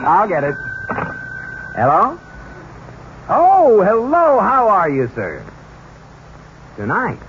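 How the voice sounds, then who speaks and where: An actor playing a sarcastic, put-upon husband in 1940s radio comedy, with wry, exaggerated reactions. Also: male voice, high voice, huge pitch swings, slow, pauses often, exaggerated voice. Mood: flustered. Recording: muffled, hissy old radio recording.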